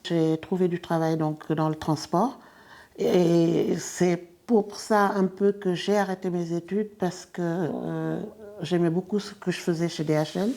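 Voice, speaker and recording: Soft-spoken woman in her sixties. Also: on the low side, level tone, measured pace. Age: 60s. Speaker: interviewee. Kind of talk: documentary interview.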